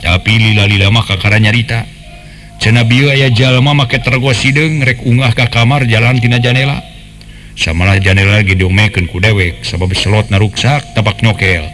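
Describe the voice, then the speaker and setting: A man's voice: fairly low pitch, fairly bright tone, natural voice. A man playing a blunt, protective older village brother in a radio folk tale, speaking in a coarse, emphatic way. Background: faint music.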